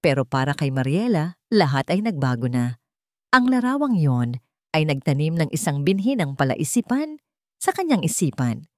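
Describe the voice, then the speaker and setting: A woman's voice: deep voice, sing-song, normal speed, a little mellow, no room sound, clean studio sound. A woman with a Filipino accent reading a scripted story as a dramatic narrator.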